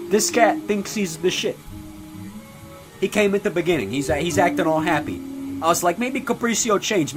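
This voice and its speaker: A man playing a crude, foul-mouthed old Italian-American mobster who belittles people and rambles with sudden loud outbursts. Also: on the high side, natural voice. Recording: music playing clearly behind.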